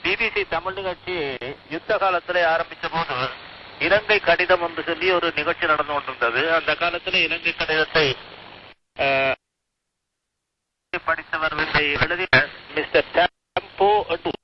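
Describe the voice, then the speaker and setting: Older male veteran broadcaster, highish voice, halting recollection, dull archive radio sound with faint hiss. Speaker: veteran broadcaster being interviewed. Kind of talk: interview reminiscence. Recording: muffled, faintly hissy archive radio interview.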